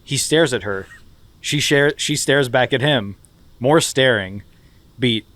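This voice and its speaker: A man's voice: medium pitch, slow pace, fairly bright tone, no room sound. A man, a podcast co-host, narrating stage directions in a comedic script reading, now and then stifling laughter.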